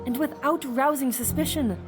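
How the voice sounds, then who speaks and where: A woman playing a cautious, sensible Victorian woman who speaks in careful, proper, measured phrases, in an audio drama. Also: high voice, music in the background, close to an everyday voice. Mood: grave, resolved. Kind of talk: children's audio drama acting.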